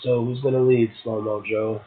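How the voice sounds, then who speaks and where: A man's voice: mid-range voice, little pitch movement, normal speed. A man, a guest poet, reading verse and chatting casually. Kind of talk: poetry reading and casual chat. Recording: tinny phone line.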